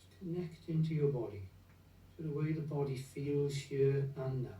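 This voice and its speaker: A man leading a guided meditation in a gentle, unhurried, soothing manner, leaving long pauses between phrases. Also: medium pitch. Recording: roomy echo, distant from the mic.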